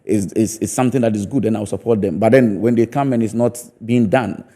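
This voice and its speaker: Man, Ghanaian accent, low pitch, very mellow dark tone, emphatic interview guest, repeats phrases for stress. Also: steady tone, brisk. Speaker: guest, stage play producer and theatre practitioner. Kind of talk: studio interview, opinionated discussion.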